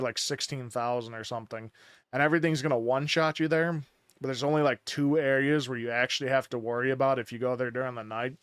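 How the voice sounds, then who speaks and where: A man's voice: mid-range voice, clean tone, no echo. Rambling man streamer on a headset. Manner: rambling, explains at length with many restarts. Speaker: game streamer. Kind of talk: casual gaming chat.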